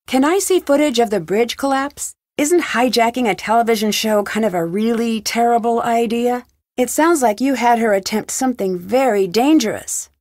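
Casting: a young female sleuth for an adventure game, inquisitive and composed, fairly high voice, dry close recording. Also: dry recording. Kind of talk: video game dialogue, questioning.

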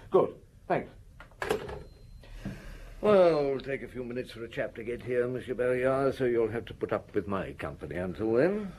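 An actor playing a shrewd, unhurried, wry middle-aged chief inspector with a husky voice in a radio drama. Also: male voice, mid-range voice, very animated, darkish tone. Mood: brisk.

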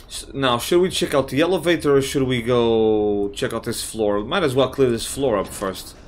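A man, slightly raspy from just waking up, giving casual let's-play commentary on a horror game, swearing often and thinking out loud. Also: medium pitch, moderate pace. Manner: swears often, thinks aloud, reacts with surprise. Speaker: gamer playing a horror game.

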